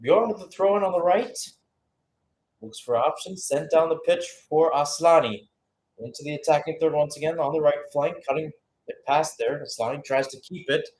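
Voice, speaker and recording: A man doing live radio-style play-by-play football commentary, rising with excitement on chances and settling calmer between plays. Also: a little high, normal speed, slight background noise, low-quality recording.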